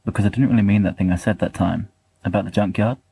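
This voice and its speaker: Low, husky man reading a letter. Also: steady tone, quick pace, dark tone. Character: awkward, bookish young man writing a love letter. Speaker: volunteer audiobook reader. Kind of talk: expressive reading of fiction letters.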